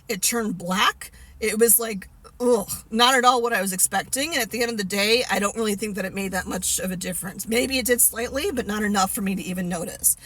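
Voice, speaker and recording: A woman's voice: medium pitch, fairly quick, a bit bright. A woman in her fifties talking casually to the camera, candid and rambling with many fillers and restarts. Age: 50s. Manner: candid, laughs at herself. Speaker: vlogger.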